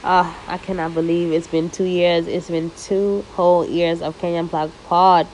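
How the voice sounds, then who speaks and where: Young woman podcast host, fairly low voice, loose and lively, sprinkles in Swahili slang. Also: normal speed, slight background noise, rough recording. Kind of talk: casual solo podcast monologue. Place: living in the United States.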